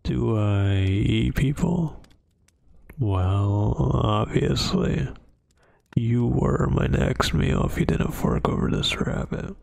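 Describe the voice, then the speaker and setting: A man voicing a brooding, menacing yet hesitant werewolf in an ASMR roleplay, with a gravelly, breathy, half-whispered voice and halting delivery. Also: mid-range voice, lively intonation, a little mellow, full character voice. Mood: wry, confessing. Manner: slow, halting, brooding, with long pauses. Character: dominant male werewolf, menacing yet hesitant, fighting hungry cravings.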